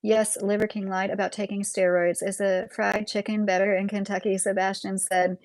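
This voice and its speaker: A woman's voice: mid-range voice, flat delivery, normal speed, a little mellow, dry recording, low-quality recording. A woman in her late thirties with a Midwestern American accent, hosting a casual livestream and answering viewer comments in a rambling, conversational way. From Southern Indiana, USA. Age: late 30s. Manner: rambling, conversational, breaks into chuckles. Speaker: YouTube livestream host.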